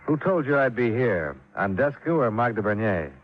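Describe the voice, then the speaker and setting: An actor playing a terse, unflappable insurance investigator with an American accent, clipped and dry, in hard-boiled old radio style. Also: male voice, mid-range voice, very animated, moderate pace. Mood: brisk, probing. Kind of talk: hard-boiled 1950s radio drama acting and narration. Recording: muffled old radio recording, music behind.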